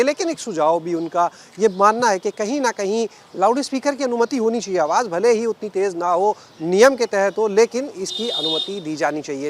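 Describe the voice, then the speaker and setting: Man, news field reporter, somewhat high pitch, on-location reporting, outdoor street, light urban background noise. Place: outdoors on a street outside a mosque.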